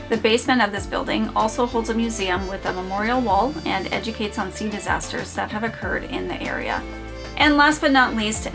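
A woman in her forties hosting a lighthouse channel, reading a script in an upbeat, enthusiastic way, framed head and shoulders. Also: mid-range voice. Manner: upbeat, enthusiastic descriptive narration. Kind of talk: scripted narration. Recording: clear background music.

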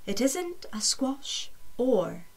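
A woman's voice: a little high, sing-song, extremely slow. A woman narrating a children's storybook expressively, with a theatrical, rhythmic delivery suited to rhyme. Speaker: audiobook narrator.

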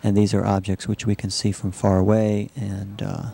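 Male technical demonstrator, low voice with plenty of fry, halting and explanatory. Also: level tone, dark tone. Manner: halting, measured explanatory delivery. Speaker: software researcher demonstrating a system. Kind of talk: live demo narration of a computer animation.

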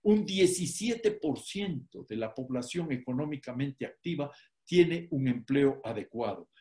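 An older man from Ecuador with an Ecuadorian accent, giving an online lecture from a room at home. He speaks emphatically and deliberately, stacking lists and slowing on key words. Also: medium pitch, lively intonation, warm tone. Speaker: economist giving an online talk. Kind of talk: public lecture. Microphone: laptop webcam on a video call.

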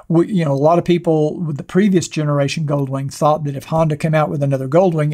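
An older man with a hoarse voice, hosting a motorcycle channel and answering viewer questions in a rambling, casual way, seated at a desk in a small studio with a clip-on mic. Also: on the high side, darkish tone. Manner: rambling, conversational, many fillers and restarts. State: hoarse, losing his voice. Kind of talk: casual talk to camera answering viewer comments. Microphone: wireless clip-on mic.

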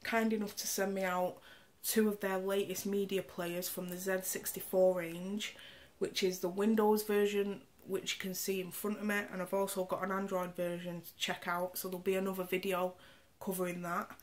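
A woman's voice: medium pitch, flat delivery, normal speed. A woman, stuffed up and nasal from a cold, casually reviewing a tech gadget while demonstrating it on screen. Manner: chatty, explains specs while demonstrating. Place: indoor room, camera on the screen.

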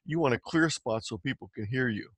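A man's voice: mid-range voice, brisk, a bit bright, slightly rough, dry recording. Man presenting a step-by-step tutorial, dry sound. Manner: explanatory, step by step. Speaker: presenter teaching amateur radio software. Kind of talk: instructional slide presentation.

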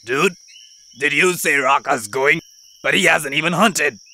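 A woman's voice: deep voice, measured pace, pushed and bright, a little hiss or hum, heavily acted. A woman voicing a laid-back, incredulous cartoon animal with a slangy drawl.

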